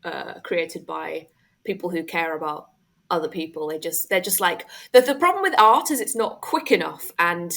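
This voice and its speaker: A woman with a slightly low-pitched voice who talks in a dry, deadpan way. She is a comedian guest chatting casually on a podcast. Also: moderate pace, creaky. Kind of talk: casual comic chat.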